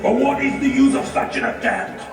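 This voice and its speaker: A man playing an arrogant yet fearful demon king in a puppet show, with theatrical, drawn-out delivery. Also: extremely high pitch, gravelly. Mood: scheming defiance. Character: arrogant, fearful male demon king sizing up his foe. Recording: echoey, distant, music behind.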